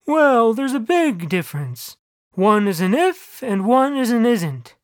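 A man's voice: extremely high pitch, sing-song, a little slow, dry recording, studio quality, pitched above the performer's own voice, full character voice. A man voicing an irritable, foul-mouthed God in a comic story, with emphatic, exasperated delivery. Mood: portentous.

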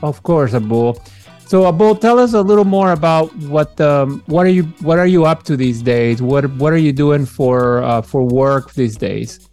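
A man from Peru hosts a casual podcast chat, his voice mellow and dark in tone. He talks haltingly, full of "uh"s and restarts, over a video call with faint guitar music underneath. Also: a little high. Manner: hesitant, many fillers and restarts. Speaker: podcast host.

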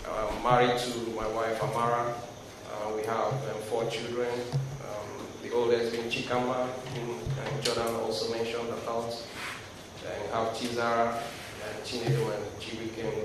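A man in his thirties from Nigeria with a Nigerian accent, giving a sermon as a guest speaker, reading scripture and praying, often pausing with ums and restarting his sentences. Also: fairly low pitch. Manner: hesitant, frequent ums and restarts. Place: large hall before a youth crowd. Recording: echoey room with noise.

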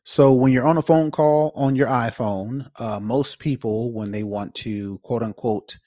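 A man's voice: medium pitch, unhurried, warm tone, no echo, studio quality. A man co-hosting a podcast in casual chat, explaining things informally and circling back to rephrase. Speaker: podcast co-host.

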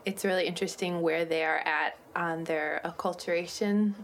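Female interview host, lowish register, conversational and a bit halting, noisy recording. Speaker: podcast host. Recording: clear steady background noise.

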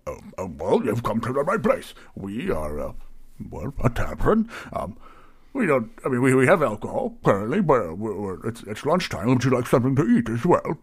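A man's voice: medium pitch, sing-song, normal speed, husky, voice pushed up. A man voicing a large, nervous, friendly half-elven tavern keeper who stammers politely in a tabletop game. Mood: flustered, welcoming.

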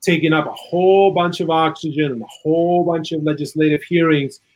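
A man from South America giving earnest, opinionated political commentary in a conversational way, with a husky voice and plenty of "you know". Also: a little high, fairly even intonation, a little fast, a little mellow, poor audio. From South America, Chile. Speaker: interview guest, political and legal commentator.

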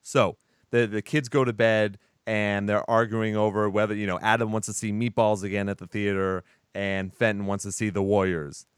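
A man's voice: fairly low pitch, flat delivery, normal speed, clear, resonant tone, dry recording, studio quality. A man from Texas co-hosting a podcast, chatting casually about a film with laid-back, profane asides. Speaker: podcast co-host.

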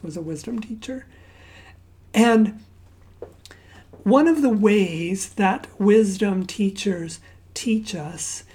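Soft-spoken woman preaching, slow and mellow. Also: mid-range voice. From Zambia. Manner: soft, unhurried, often dropping to a hushed near-whisper; conversational storytelling with fillers and asides. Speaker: pastor. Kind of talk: sermon with opening prayer.

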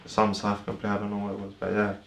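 A young man who was born in Ireland and raised in the UK, a podcast guest talking in a soft, hesitant way with lots of fillers. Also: fairly low pitch, monotone, rapid-fire, gravelly, roomy sound. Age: young adult. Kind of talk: casual conversation.

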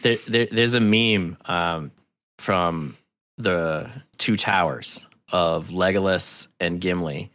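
A man talking casually as a podcast guest, telling anecdotes in an informal, halting way. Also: deep voice, a bit bright, dry recording, clean studio sound. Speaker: podcast guest, audio drama creator. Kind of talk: casual conversational storytelling.

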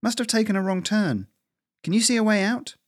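A man's voice: sits high, a little fast, pitched above the performer's own voice, close to an everyday voice. A man voicing a snappy, exasperated older female squirrel in a narrated adventure story. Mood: urgent.

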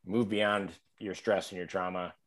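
A man's voice: deep voice, steady tone, normal speed. A man hosting a casual interview, agreeable and recapping points for the listener. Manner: agreeable, frequent "yeah", recapping. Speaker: host.

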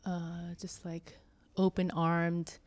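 A woman talking slowly and thoughtfully about faith and church life, pausing often with soft fillers. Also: lowish voice, monotone. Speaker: church member interviewee. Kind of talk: reflective conversation.

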